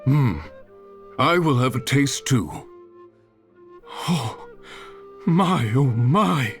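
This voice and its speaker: An actor playing a wistful man from a bygone era in a video game, with husky, halting, sentimental speech. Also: mid-range voice, expressive, faint music behind. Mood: wistful, moved. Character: wistful old-time male figure longing for his era.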